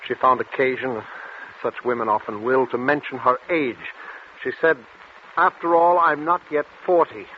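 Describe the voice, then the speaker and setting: An actor playing a tormented male patient cursed to blurt out truths, anguished and halting in 1940s radio style. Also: mid-range voice, lightly acted. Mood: haunted, recounting. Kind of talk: 1940s radio drama acting. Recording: muffled, noisy 1940s radio recording.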